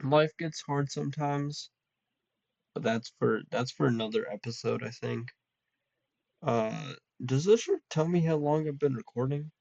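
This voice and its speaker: A young man talks slowly and loosely, his slightly nasal voice turning gravelly and creaky. He rambles with many ums and restarts. The recording is dull and lo-fi, with a dry sound. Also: medium pitch, darkish tone, no room sound. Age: young adult. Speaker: vlogger. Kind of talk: casual solo chat.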